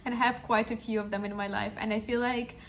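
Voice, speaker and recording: A woman's voice: fairly high pitch, level tone, fast talker. A woman in her twenties, a podcast host telling a personal story in an earnest, encouraging way with frequent restarts. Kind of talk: reflective personal storytelling monologue.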